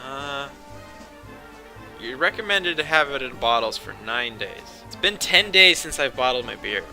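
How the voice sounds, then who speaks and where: A man's voice: mid-range voice, animated, a little slow, vocal fry, bright, forceful tone, faint music behind. A man casually commentating on a game, rambling, sarcastic and profane.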